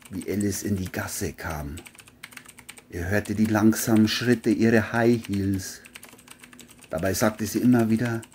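A woman reading scripted narration for a crime audiobook in a husky voice. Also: very deep voice, dark tone, a little hiss or hum.

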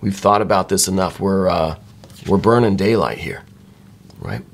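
A man giving a soft-spoken tarot reading in a musing way, with a slightly croaky voice. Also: sits low, very animated, normal speed. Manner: musing, repeats "right?" often. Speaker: tarot reader.

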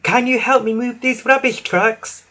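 A man's voice: very high voice, a little slow, sharp tone, pitched above the performer's own voice, exaggerated voice. A man voicing an earnest, proud and fretful female steam engine in a children's storybook. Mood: regretful.

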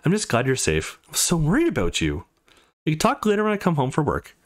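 Man voicing a caring, anxious woman, raised pitch. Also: huge pitch swings, fairly quick. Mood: tender, concerned. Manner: anxious, affectionate, earnest. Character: worried, caring adult woman, a motherly guardian.

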